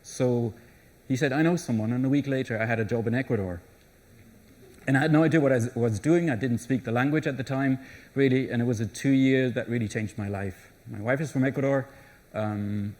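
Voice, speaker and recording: A man's voice: medium pitch, darkish tone. A middle-aged man giving a live conference talk, conversational, with frequent restarts and fillers. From Germany. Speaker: conference presenter. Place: conference session room.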